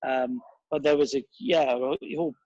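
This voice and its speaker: A man's voice: medium pitch, normal speed, a little mellow, no room sound, highs cut off. A man giving a casual interview as a guest, reminiscing in a rambling, enthusiastic and digressive way.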